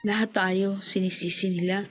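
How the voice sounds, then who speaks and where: A woman's voice: medium pitch, flat delivery, normal speed, music in the background, close to an everyday voice. An actress playing an aggrieved young woman in a Filipino radio drama.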